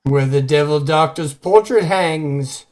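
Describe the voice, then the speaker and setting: Slow, ominous, fearful clergyman played by a man. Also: fairly high pitch, a little mellow. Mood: dread. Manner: solemn, ominous, melodramatic. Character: grave, fearful clergyman warning of evil. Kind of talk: old-time radio horror drama acting.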